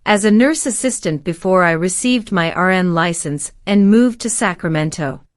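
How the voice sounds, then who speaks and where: A woman in her forties from Syria, giving polite, formal, measured answers as a citizenship applicant. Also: lowish voice, lively intonation, no echo, studio quality. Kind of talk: scripted interview answers.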